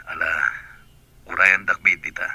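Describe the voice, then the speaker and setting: A man's voice: low-pitched, little pitch movement, moderate pace, pushed and bright, slightly rough, no room sound, heavily acted. A middle-aged man in a radio drama playing an earnest, persuasive man who is courting a woman.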